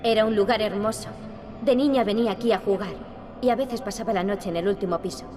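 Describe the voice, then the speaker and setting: An actress playing a serious, determined young princess in a video game. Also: female voice, medium pitch, fast talker, lightly acted. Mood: calm. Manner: earnest, resolute. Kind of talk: video game dubbing in Spanish.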